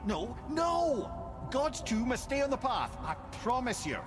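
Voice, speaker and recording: Wry old sage, wildly animated. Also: male voice, extremely high pitch, measured pace, over music, full character voice. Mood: alarmed. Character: witty old severed-head sage, chatty, wry storyteller. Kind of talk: video game character acting.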